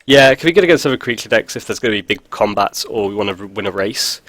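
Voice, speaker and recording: A man's voice: on the low side, animated, fast talker, fairly bright tone, no echo. A man with a cold, sounding stuffy, talking casually over a card game with dry jokes and lots of fillers. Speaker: video host. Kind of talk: casual gameplay commentary.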